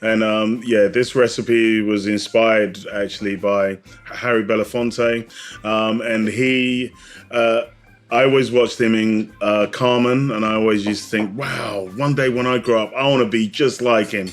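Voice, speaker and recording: A man's voice: lowish voice, fairly even intonation, measured pace, soft background music. Man chatting as a guest. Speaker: podcast guest, drinks industry expert. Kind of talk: casual interview chat.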